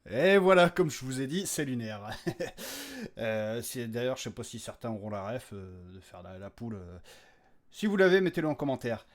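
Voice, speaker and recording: A man's voice: medium pitch, very animated, moderate pace, soft background music. A man, the creator and host, chatting casually and unscripted to his listeners in a hesitant, rambling way.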